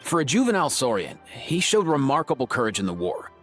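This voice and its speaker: A man's voice: mid-range voice, wildly swooping pitch, fast talker, fairly bright tone, faint music behind. A man voicing a laid-back, caring doctor in a game, with slangy, chilled-out phrasing. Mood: assured, explaining. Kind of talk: English game voice acting.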